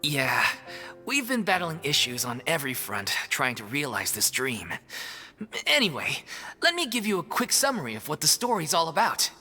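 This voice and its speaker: A man's voice: a little high, wildly swooping pitch, normal speed, sharp tone, soft background music, heavily acted. An actor voicing an earnest male member of a theatre troupe in a video game, telling things expressively. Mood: storytelling.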